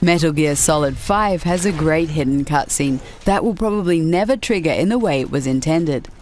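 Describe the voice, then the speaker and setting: A woman's voice: low voice, lively intonation, normal speed, darkish tone, slight background noise. A woman reading scripted narration for a video list show about games.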